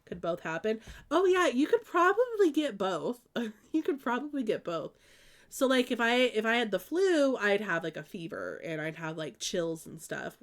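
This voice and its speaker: A woman's voice: on the high side, sing-song, normal speed. A young woman from the Midwest, stuffed up with allergies, chatting casually with viewers on a live stream and rambling with lots of ums and likes. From American Midwest.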